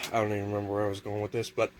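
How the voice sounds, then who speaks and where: A man with a noticeably nasal, somewhat low voice rambles through a casual home vlog, restarting his sentences and cursing offhandedly. He wanders from thought to thought. A faint background hum sits under the voice. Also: completely flat delivery, rapid-fire. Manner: meandering, restarts often, swears casually. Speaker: vlogger. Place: home kitchen near a microwave.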